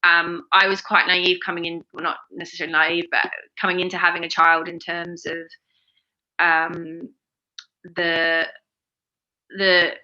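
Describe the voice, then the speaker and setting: A woman's voice: lowish voice, flat delivery, unhurried, pauses often, sharp tone, highs cut off. A woman in her forties with an Australian accent, talking casually as an interview guest, rambling with many fillers and restarts. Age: 40s. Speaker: interview guest, fashion brand founder.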